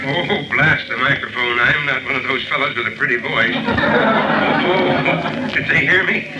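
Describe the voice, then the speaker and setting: An actor playing a gruff, commanding fire chief in an old-time radio drama, blunt and blustery. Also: male voice, mid-range voice, unhurried, close to an everyday voice. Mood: gruff, indignant. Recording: noisy, muffled vintage radio recording.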